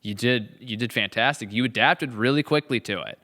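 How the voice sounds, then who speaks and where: A man's voice: medium pitch, a little fast, pushed and bright. A man hosting a podcast in casual, reflective conversation, speaking earnestly and often rephrasing himself as he goes. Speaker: podcast host and audio drama series creator.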